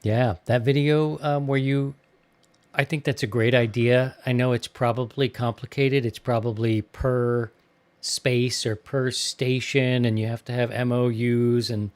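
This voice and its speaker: A man's voice: mid-range voice, steady tone, a little slow. A man hosting a podcast in casual chat, talking in an enthusiastic, rambling way. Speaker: podcast host.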